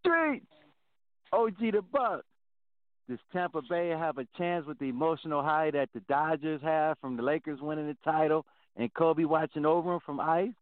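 A man hosts a sports talk show and steers the conversation with direct questions. His voice sits slightly high. The sound is dry and thin, like a phone call. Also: normal speed, dry recording. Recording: dry phone-line audio.

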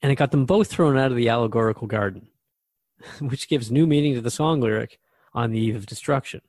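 A man's voice: mid-range voice, fairly quick, a little mellow, dry recording. A man acting as a radio host in a conversational drama, talking in an inquisitive, reflective way.

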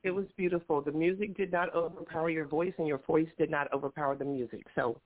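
A man's voice: fairly high pitch, fairly even intonation, quick pace. A man co-hosting a radio show, exclamatory and teasing in casual chat. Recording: tinny phone line with crowd noise.

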